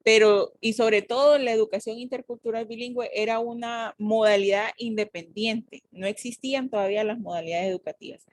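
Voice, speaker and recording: Una mujer con acento hondureño que, como docente universitaria, explica y corrige con calma a sus estudiantes. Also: female voice, on the high side, fairly quick, hardly any pauses. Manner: explanatory, corrects and clarifies. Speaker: teacher. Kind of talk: university class discussion.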